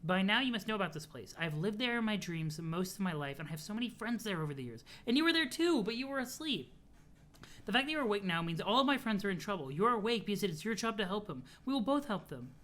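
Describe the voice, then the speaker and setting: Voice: mid-range voice, sing-song, quick pace, fairly bright tone, pitched above the performer's own voice. A streamer voicing an earnest, cheerful young girl who is chatty and sweet as she reads out a letter.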